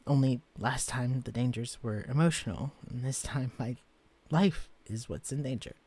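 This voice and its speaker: Male actor as a shrunken romantic lead, guarded yet tender, earnest and soft-spoken, for a listener-directed audio drama; ordinary mid-pitched male voice. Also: lightly acted. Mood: somber. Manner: earnest, vulnerable, softly confessional. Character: shrunken man, tender but guarded, easily shaken.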